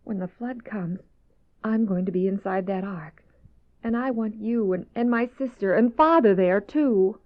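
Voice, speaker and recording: A woman's voice: medium pitch, measured pace, natural voice. Woman acting an earnest, devout young daughter. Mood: pleading, sorrowful. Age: young adult. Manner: pleading, earnest, breaking off mid-thought. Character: earnest, devout eldest daughter pleading with her parents. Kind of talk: old-fashioned radio drama acting. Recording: dull lo-fi cassette radio drama.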